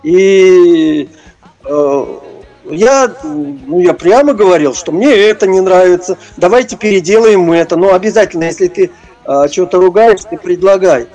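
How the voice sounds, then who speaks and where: An older man reminiscing in an interview, speaking haltingly and searching for his words. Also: sits high, a little mellow, soft background music. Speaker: interview guest, retired prison-service officer and former stage performer.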